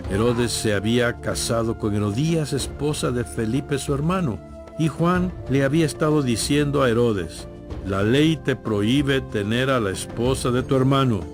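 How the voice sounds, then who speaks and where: Solemn male narrator over music. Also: medium pitch. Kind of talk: scripted dramatized Bible narration.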